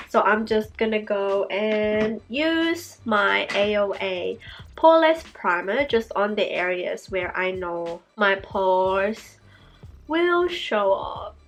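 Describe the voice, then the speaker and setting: A young woman vlogger, sick and congested, with a raspy voice, talking through a casual makeup tutorial with heavy breathing. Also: medium pitch, lively intonation, slow pace, faint music behind. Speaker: beauty vlogger.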